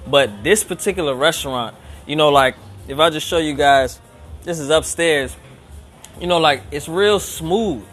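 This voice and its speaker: A man's voice: on the high side, a little slow, a bit bright, faint music behind. A man hosting a casual live-streamed interview in a restaurant lounge, talking loosely with lots of fillers, filmed on a handheld phone. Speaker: show host. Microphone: handheld phone, no tripod.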